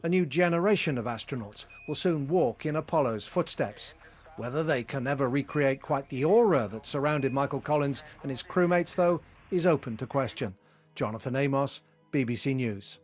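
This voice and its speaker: A man's voice: mid-range voice, moderate pace, faint music behind. A man narrating a scripted news report as a correspondent. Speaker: science correspondent.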